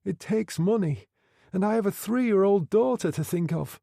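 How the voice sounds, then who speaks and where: A man's voice: high voice, normal speed, creaky, dark tone, no room sound, studio quality, voice pushed up. A man voicing a frightened young female prostitute who pleads and sobs. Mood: distraught. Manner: pleading, sobbing.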